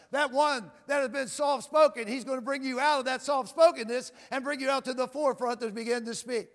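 A male preacher giving a loud sermon, asking the crowd "Amen?" again and again, repeating and stumbling over words as he builds to shouting, heard in a church with long reverb and faint music behind. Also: extremely high pitch.

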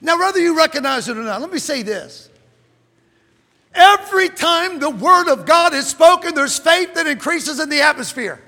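A middle-aged man preaching a sermon in a church, reading scripture and rising into fervent shouts. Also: extremely high pitch, lively intonation, normal speed. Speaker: pastor. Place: church sanctuary. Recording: long church hall reverb.

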